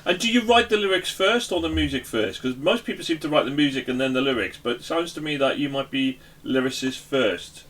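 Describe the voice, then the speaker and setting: A man's voice: mid-range voice, fairly quick, hardly any pauses, fairly bright tone. A man working as an interviewer, chatting casually with a bantering, encouraging manner.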